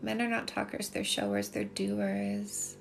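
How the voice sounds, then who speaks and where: A woman's voice: mid-range voice, very animated, a little slow, vocal fry, faint music behind. A middle-aged Canadian woman with a Canadian accent, chatting casually on a livestream as a coach, reading and answering comments and repeating points for emphasis. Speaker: dating coach and livestream host. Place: small home room with shelves, indoors. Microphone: phone at arm's length.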